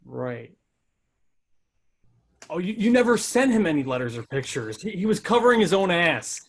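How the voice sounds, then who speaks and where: Military lawyer, male actor: earnest, probing, halting speech, slightly high register, screenplay drama read. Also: big pitch swings, a little hiss or hum. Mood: dawning realization. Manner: hesitant, halting questioning. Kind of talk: screenplay table read acting.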